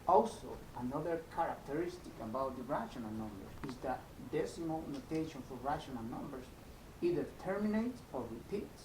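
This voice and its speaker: A man from El Salvador with a Salvadoran Spanish accent teaches math in an informal, step-by-step way, repeating himself and checking in as he goes. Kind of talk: informal video lesson. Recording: echoey room, clear rumbling noise.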